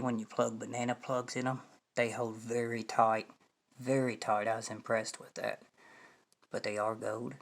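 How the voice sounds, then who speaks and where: An American man giving a casual, folksy product review to viewers, repeating points for emphasis. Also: medium pitch. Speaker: home audio equipment reviewer. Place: indoor room. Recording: echoey room, distant microphone.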